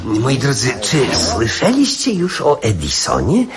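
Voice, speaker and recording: Radio drama: charming spendthrift uncle, theatrical and excitable, lively swings in pitch. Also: male voice, unhurried, over loud music. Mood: showy, excited. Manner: theatrical, coaxing, hesitant lead-ins.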